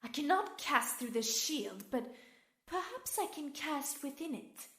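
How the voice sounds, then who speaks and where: High, imperious sorceress villain. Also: female voice, sing-song, a little slow, a bit bright, heavily acted. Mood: menacing, scheming. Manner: theatrical, haughty, swinging from purring menace to shrill shrieks. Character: arrogant, cruel woman wind sorceress villain, imperious and taunting. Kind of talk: audio drama acting.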